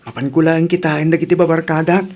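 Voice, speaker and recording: A man's voice: a little high, steady tone, quick pace, darkish tone, natural voice. A man acting in an Ilocano radio drama as an earnest, emotional family man, with an Ilocano accent. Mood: pleading. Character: earnest family man, by turns pleading son and father.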